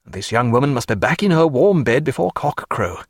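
A man voicing a wry, learned gentleman physician who speaks in a measured way. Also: medium pitch, sing-song, no echo, studio quality.

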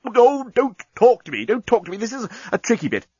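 A man voicing an easily distracted talking bomb in a comic sci-fi audiobook, with halting, drawn-out counting that gets muddled. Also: very high-pitched, very animated, darkish tone, breathy edge, dry recording, dull audio, voice pushed up, exaggerated voice. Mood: flustered. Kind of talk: comic science fiction audiobook.

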